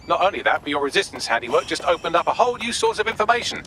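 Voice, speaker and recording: A man's voice: fairly high pitch, very animated, racing, pushed and bright, slight background noise. A man voicing a sarcastic, cheeky British AI assistant in a video game, wordy and dryly mocking. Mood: wry, teasing. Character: sarcastic, cheeky male artificial intelligence assistant.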